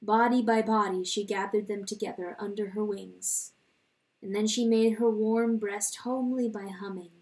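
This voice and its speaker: A woman reading a literary story dramatically, in a solemn, deliberate narrating style. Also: mid-range voice, steady tone, a little mellow, recorded from a distance, low-quality recording. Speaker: audiobook reader.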